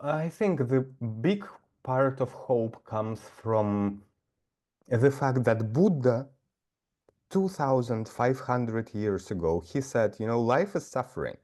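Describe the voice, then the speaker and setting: A man's voice: medium pitch, lively intonation, slow, darkish tone. A middle-aged man, a nature guide talking thoughtfully in an interview, with many fillers and restarts. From Siberia. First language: Russian. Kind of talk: casual conversation.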